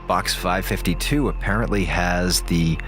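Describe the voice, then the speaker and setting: An actor playing a wisecracking, easily distracted radio broadcaster on a space station, rambling casually and sarcastically in an audio drama. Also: male voice, deep voice, a little slow, over music. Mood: curious, amused. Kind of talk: audio drama, chatty monologue to listeners.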